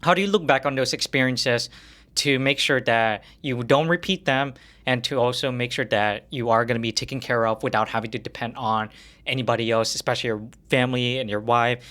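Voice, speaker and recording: Bright-voiced man hosting a casual interview. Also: medium pitch, steady tone, brisk. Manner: informal, rewords questions as he goes. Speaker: interviewer, podcast host.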